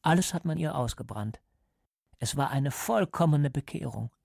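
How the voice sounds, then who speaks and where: An actor playing a coldly calm party interrogator in a radio drama, measured and didactic, paternal yet menacing, with a creaky voice. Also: male voice, medium pitch, no room sound, clean studio sound. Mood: cold, certain.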